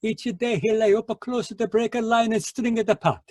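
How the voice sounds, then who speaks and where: A man's voice: high-pitched, moderate pace, darkish tone, no room sound, studio quality, lightly acted. A man playing a hot-tempered, sarcastic Italian fisherman in an old-time radio drama, theatrical, with broken English and an Italian accent. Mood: sarcastic, disgusted.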